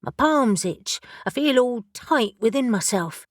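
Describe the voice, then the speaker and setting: A woman's voice: a little high, animated, measured pace, dry recording, studio quality, pitched above the performer's own voice. A woman voicing a confused, frightened young woman locked in a cell, in a storybook reading. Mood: anxious.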